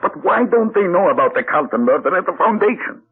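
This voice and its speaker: An actor playing a nervous, whining male henchman who stammers in halting, broken phrases, in an old radio drama heard as a tinny broadcast. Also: high voice, sing-song, husky, full character voice. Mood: anxious. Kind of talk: 1940s radio acting.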